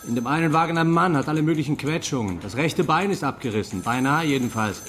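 A man acting a hurried police dispatcher who reports in clipped, factual phrases in a German radio crime drama. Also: a little high, lively intonation, fairly bright tone, slight background noise.

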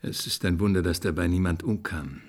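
A man's voice: low-pitched, a little fast. A man playing a cold, clinical research scientist in a science-fiction radio drama, matter-of-fact and pointed.

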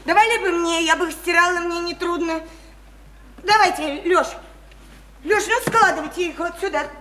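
A woman's voice: extremely high pitch, moderate pace, bright, forceful tone, roomy sound. An actress playing a simple-hearted, devoted woman near thirty in a radio play, imploring and naively repeating herself. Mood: naive, coaxing. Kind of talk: theatrical radio play acting. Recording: faintly noisy 1991 radio play recording.

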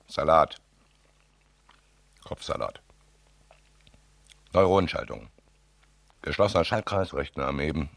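A man's voice: rumbling low, creaky, dry recording, full character voice. A man playing a bitter, disgusted reclusive tape artist in an experimental radio drama monologue, speaking in staccato, intense bursts. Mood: cold, intense.

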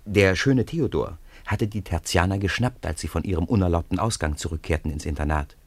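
Male storyteller, low voice, dry close sound, narrating children's radio drama. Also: fairly quick, dry recording.

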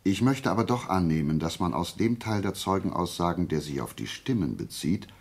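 A brilliant detective reasons aloud in a very deep, creaky voice. His delivery is deliberate and animated, with emphatic pauses and a self-assured, pedantic air. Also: heavily acted. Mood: methodical reasoning. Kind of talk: German radio play acting.

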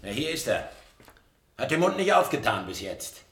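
A male actor playing a callous, suspicious guard with a sharp, gravelly voice in a radio play. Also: medium pitch, big pitch swings, normal speed, reverberant. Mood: contemptuous. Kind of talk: German science-fiction radio play acting.

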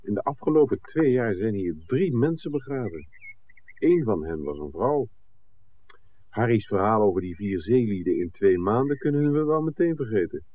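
Animated low-voiced inquisitive film maker. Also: male voice, moderate pace, narrow, tinny audio. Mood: suspicious. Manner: articulate, probing, wry. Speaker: radio play actor. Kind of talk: 1960s radio drama acting. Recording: thin, noisy old radio recording.

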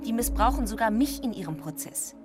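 A woman's voice: fairly high pitch, lively intonation, a little fast, over music, natural voice. An actress playing a driven, ambitious female radio reporter in a German radio drama, insistent and incredulous in delivery. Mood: urgent persuasion. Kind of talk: German radio drama acting with inner monologue.

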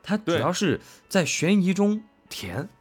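A man's voice: high-pitched, sing-song, measured pace, husky, soft background music. A man co-hosting a casual chat about an audio drama as one of its voice actors, effusive and rambling, repeating himself for emphasis. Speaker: voice actor co-hosting a fan talk.

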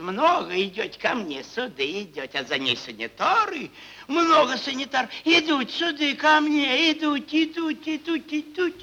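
Theatrical audio drama: a crude, cynical merchant with a bright, forceful voice. He swings widely in pitch as he mocks, rambles and circles back over the same words. He takes his time, bullying and needling at every turn. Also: full character voice. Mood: plaintive boasting. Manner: rambling, repeats words, chuckles, mocking. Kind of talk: theatrical stage acting, Russian classic prose.

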